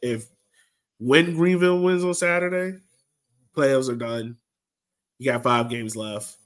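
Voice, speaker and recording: A man's voice: mid-range voice, takes it slowly. A man with an American accent hosting a sports podcast, talking informally and colloquially as a fan. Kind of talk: casual soccer fan discussion.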